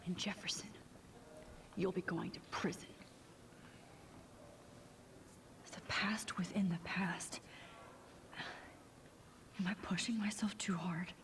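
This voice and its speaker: Determined teenage girl, lowish voice. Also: steady tone, unhurried, long pauses, in a whisper. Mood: quietly intense. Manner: hushed inner monologue. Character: determined teenage girl student, introspective, anxious, wry. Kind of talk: video game voice acting.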